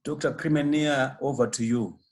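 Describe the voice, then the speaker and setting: A man's voice: mid-range voice, steady tone, normal speed. A man chairing a webinar, politely introducing speakers in a formal manner.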